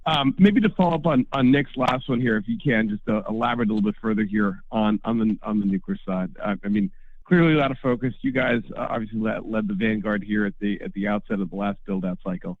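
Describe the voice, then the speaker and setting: A man's voice: fairly low pitch, fast talker, no room sound. A man asking a casual, rambling, hesitantly phrased question as a financial analyst on an earnings call. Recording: dry, dull phone-line audio.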